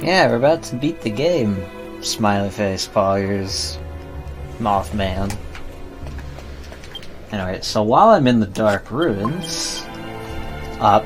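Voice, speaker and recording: A man giving casual, laid-back gameplay commentary with dry asides, as a live streamer playing a video game. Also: on the low side. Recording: game music behind the voice. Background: video game music.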